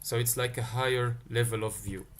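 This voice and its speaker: A man's voice: medium pitch, steady tone, moderate pace, a little hiss or hum. A man from Tunisia, teaching a live-streamed coding lesson. He thinks aloud and answers chat questions as they come in. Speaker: livestream tech teacher.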